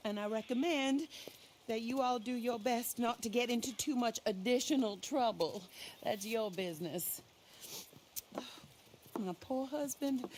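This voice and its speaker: A woman's voice: fairly high pitch, darkish tone, a little hiss or hum, close to an everyday voice. A woman playing a vengeful, imperious widow in a game cutscene, speaking in a formal, theatrical and scornful way. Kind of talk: dramatic game cutscene acting.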